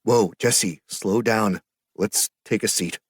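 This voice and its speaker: A man voicing a young hero who calmly steadies a frightened girl. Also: fairly low pitch, slow, husky, no echo, studio quality, pitched above the performer's own voice. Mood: soothing. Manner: soothing, steadying. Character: young male superhero, calm and steadying.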